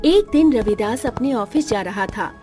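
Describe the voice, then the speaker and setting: A woman telling a cartoon story in a high, bright-pitched voice, animated and loud when her characters call out. Soft music plays under her. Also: measured pace. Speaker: narrator and voice actor.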